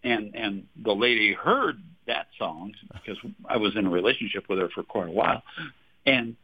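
A man's voice: fairly low pitch, expressive, normal speed, husky. Man in his sixties from Texas, chatty guest, laughs often, restarts his sentences, tinny phone line. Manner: chatty, laughs often, repeats words when starting. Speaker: singer-songwriter guest. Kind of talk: radio interview, casual storytelling.

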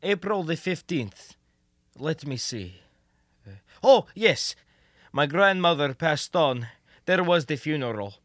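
A high, lively male voice plays a meek middle-aged clerk acted by a man. He is hesitant, earnest and wistful, in a comic radio play recorded dry and close. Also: takes it slowly, long pauses, no echo. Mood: sheepish. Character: mild, baseball-mad middle-aged Soviet government clerk, wistful.

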